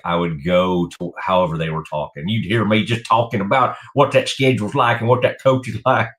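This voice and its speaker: A man's voice: lowish voice, a bit bright. A middle-aged man with a Texan accent hosting a sports talk show, rambling casually with lots of fillers. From Texas. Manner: rambling, many fillers and restarts. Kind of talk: casual radio sports chat.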